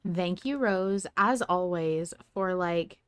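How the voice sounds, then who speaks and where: A woman's voice: fairly low pitch, slow, clear, resonant tone. A woman hosting a casual fan discussion, talking in an effusive, appreciative way. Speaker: podcast host.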